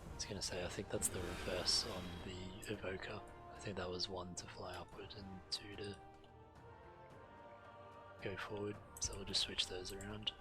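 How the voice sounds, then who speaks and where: Low-voiced man streaming a fantasy game. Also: flat delivery, normal speed. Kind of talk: reading quest text aloud while playing. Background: fantasy game music.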